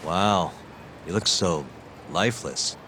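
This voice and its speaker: A man playing a composed, sharp young private detective, speaking in a measured, probing and dry way in a game cutscene. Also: low voice, slight background noise, exaggerated voice. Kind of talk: video game cutscene acting.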